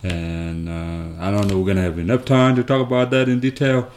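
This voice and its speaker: A man with a South Sudanese accent telling his story as an interview guest, haltingly and with repeated words. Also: sits low, steady tone, darkish tone, a little hiss or hum. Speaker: interview guest, foundation founder. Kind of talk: conversational storytelling.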